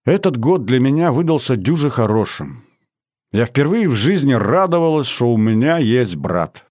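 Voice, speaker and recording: A man's voice: medium pitch, very animated, normal speed, a little mellow, no echo, clean studio sound, pitched above the performer's own voice. A man voicing a young teenage girl in an audiobook, an earnest and proud little sister speaking with nervous, heartfelt feeling. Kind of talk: audiobook character voice.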